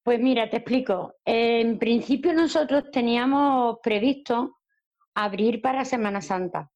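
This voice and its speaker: A woman with an Andalusian accent answers interview questions in an easygoing way, working through her explanation step by step with plenty of fillers. Her voice sits fairly high, and it comes over a slightly muffled, dull remote line. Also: unhurried, a little mellow. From Andalusia, Spain. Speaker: campsite group coordinator and social media manager.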